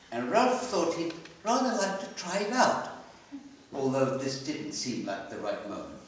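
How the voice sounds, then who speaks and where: Echoey, expressive male story reader. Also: medium pitch, slight background noise. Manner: measured, dramatic delivery with pauses. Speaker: story reader at a literary festival. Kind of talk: expressive live reading of literary fiction. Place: library hall at a live festival. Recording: echoey hall, distant microphone.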